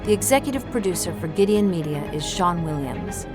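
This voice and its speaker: A woman announcer with a slightly low voice reads scripted credits. Her delivery is measured and neutral. Music plays clearly behind her. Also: expressive.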